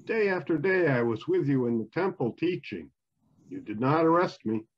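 A man reading a solemn, husky-voiced Jesus. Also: on the high side, expressive, moderate pace. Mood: solemn reproach. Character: Jesus, calm, solemn adult man facing accusers. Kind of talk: amateur church dramatic reading. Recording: dull, muffled video-call audio.

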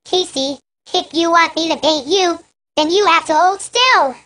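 A woman's voice: extremely high pitch, a little slow, sharp tone, exaggerated voice. A woman voicing a confident, bossy young girl animal in a children's learning game. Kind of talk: children's educational game acting.

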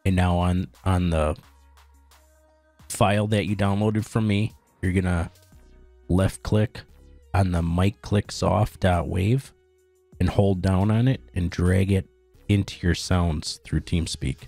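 A man narrating a step-by-step software tutorial in a plain, instructional way. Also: low-pitched, fairly even intonation, takes it slowly, vocal fry, no room sound, soft background music. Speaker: tutorial host.

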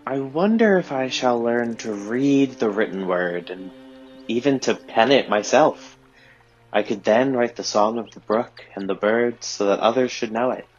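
A man's voice: mid-range voice, measured pace, muffled recording, faint music behind, lightly acted. A man playing a humble, wistful young shepherd lad in an audio drama.

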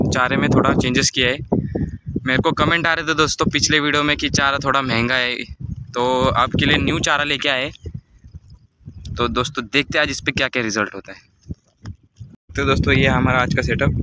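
A young man in his twenties hosting a fishing vlog outdoors on a lakeshore, filming on a handheld phone and calling out excitedly to his viewers. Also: medium pitch. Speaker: fishing vlogger host. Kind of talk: casual vlog narration to viewers. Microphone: handheld phone camera. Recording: loud outdoor wind noise.

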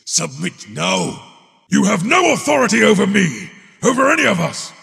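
A man playing a gruff, grim warrior of a wolf legion in a video game, menacing and declamatory, with gravel in his voice. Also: high voice, sing-song, normal speed, bright, forceful tone, in a large hall, exaggerated voice. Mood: defiant, fierce.

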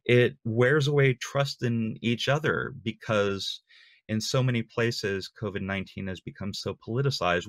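A middle-aged man giving an online talk in an earnest, measured way, phrase by phrase. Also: on the low side, a bit bright, no echo. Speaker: conference speaker. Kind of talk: lightning talk to an online audience. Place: small home room with bookshelves, indoors. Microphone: webcam video call.

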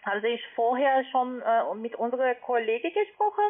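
Casting: female phone agent, highish voice, non-native accent, hesitant delivery, thin telephone sound. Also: expressive. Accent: foreign, non-native German. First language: not German. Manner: halting, hesitant, searching for words. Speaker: call centre agent. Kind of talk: unscripted phone sales call. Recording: narrow tinny phone line.

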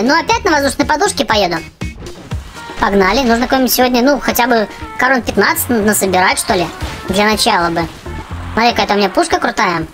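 A woman hosting a gaming channel for children, giving chatty, excitable running commentary on her play. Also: medium pitch, low-quality recording. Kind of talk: casual game commentary for children. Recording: game music behind the voice. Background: video game music.

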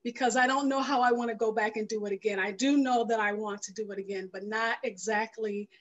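A woman's voice: on the high side, steady tone, a little fast, muffled recording. A middle-aged woman guest telling her story casually in a video-call interview, often acting out what was said. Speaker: guest filmmaker. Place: indoor room at home, video call. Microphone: headset on a video call.

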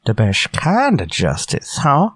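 An old frontier prospector for a western radio play. He is folksy and exclamatory, with pitch that swings wildly. The sound is close and dry. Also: huge pitch swings, takes it slowly, husky, no echo, heavily acted. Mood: surprised, agreeable. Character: folksy old male prospector and former ranger.

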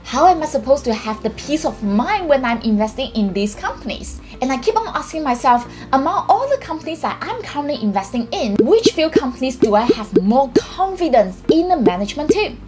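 Animated, high-voiced woman investing vlogger. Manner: earnest, expressive, asks herself rhetorical questions. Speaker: investing channel host. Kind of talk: explainer vlog to camera. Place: small indoor room with bookshelf and plant.

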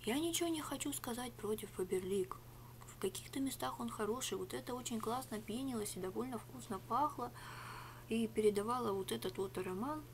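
A middle-aged woman rambling through a sarcastic product review in a home room, switching between a whisper and a soft speaking voice. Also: a little high, fairly quick, a little hiss or hum. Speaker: ASMR vlogger. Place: small home room with rug on wall. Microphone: webcam at arm's length.

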